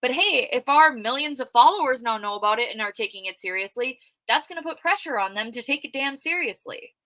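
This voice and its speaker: A loud, outspoken woman talking through a livestream in a casual, conversational way and answering her chat as she goes. Her voice is bright and forceful, and it sits close and dry with no room sound around it. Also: a little high, animated, brisk, dry recording. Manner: conversational with frequent fillers. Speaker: true crime commentator reading live chat.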